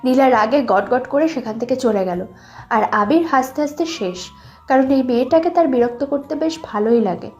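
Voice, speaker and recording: A woman narrates a scripted romantic story. Her voice is high, and soft music plays underneath as she tells it. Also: moderate pace, highs cut off.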